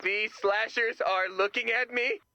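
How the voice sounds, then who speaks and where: An actor playing a nervous, tactless young male camper who babbles anxiously, in an animated video game style. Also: high-pitched, measured pace, no echo, exaggerated voice.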